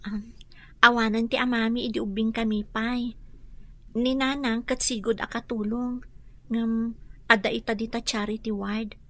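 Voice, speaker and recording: An actress with an Ilocano Filipino accent playing a meek, respectful young housemaid in a radio drama. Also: female voice, fairly high pitch, flat delivery, moderate pace, pauses often, sharp tone. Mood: meek, polite.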